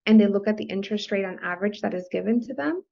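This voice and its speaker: A woman raised on the US-Mexico border whose first language is Spanish talks with lively enthusiasm, explaining ideas and checking in with "right?". Her voice carries a gravelly fry. The lo-fi podcast audio sounds dull. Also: medium pitch, quick pace. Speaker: guest, financial coach. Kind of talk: conversational interview.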